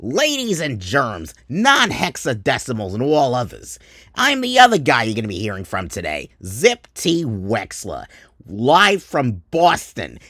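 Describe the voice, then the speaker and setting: A man's voice: mid-range voice, wildly swooping pitch, pushed and bright, no room sound, studio quality, heavily acted. Man doing a brash, showy American radio host. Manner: showy announcer, drawn-out emphasis. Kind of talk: mock radio show hosting.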